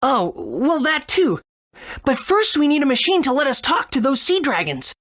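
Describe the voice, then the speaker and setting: A woman's voice: high-pitched, sing-song, moderate pace, fairly bright tone. A woman voicing an eager, good-natured young boy hero in a video game, earnest and agreeable. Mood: curious.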